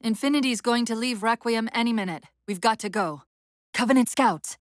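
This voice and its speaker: An actress playing a female military AI companion in a video game, urgent and witty but fraying under strain, with crisp tactical delivery and dry sarcasm. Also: on the high side, moderate pace, no room sound, natural voice. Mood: urgent, commanding. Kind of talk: dramatic game voice acting.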